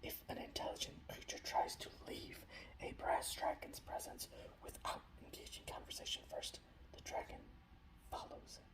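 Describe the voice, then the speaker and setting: Male ASMR creator, breathy whisper with playful halting repeats, recorded roomy and slightly distant. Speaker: ASMR creator showing painted miniatures and reading lore.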